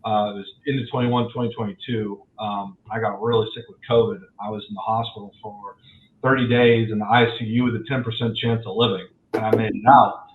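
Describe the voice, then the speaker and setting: A man's voice: lowish voice, level tone, brisk, slight background noise. A man in his forties, a loan officer guest on a podcast, speaking candidly and reflectively. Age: 40s. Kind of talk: podcast interview. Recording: echoey, tinny remote call audio.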